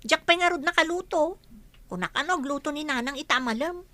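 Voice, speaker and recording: A woman's voice: high-pitched, fairly quick, pushed and bright, dry recording. A woman playing a cheeky, lazy young daughter in an Ilocano comedy radio drama, whining and arguing with an Ilocano Filipino accent. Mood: excuse-making.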